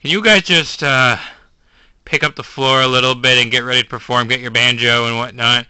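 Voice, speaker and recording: A man voicing a weary male pizzeria owner who talks slowly in a dazed mumble. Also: medium pitch, fairly even intonation, pushed and bright, clear, resonant tone, no echo, voice pushed down, rough recording. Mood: groggy.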